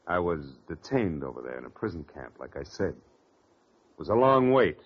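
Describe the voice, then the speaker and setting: Low-voiced hard-boiled investigator. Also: male voice, very animated, normal speed, breathy edge, full character voice. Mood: coolly triumphant. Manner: tough, clipped, taunting. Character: hard-boiled, coolly triumphant male government investigator. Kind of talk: 1940s radio drama acting. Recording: dull vintage radio recording.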